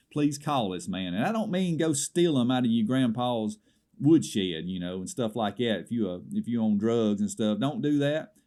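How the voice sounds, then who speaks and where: A man's voice: medium pitch, darkish tone, natural voice. A man playing an indignant small-town caller with a Southern American accent, rambling through a comedy swap shop report with exasperated asides.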